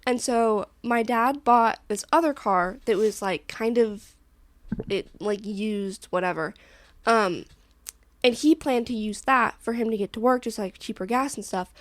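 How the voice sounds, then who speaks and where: A woman's voice: on the high side, unhurried, no room sound. A young woman co-hosting a podcast, telling stories in a rambling, casual way with lots of "like". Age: young adult. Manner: chatty rambling storyteller, heavy use of "like". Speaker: podcast co-host. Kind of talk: casual chat.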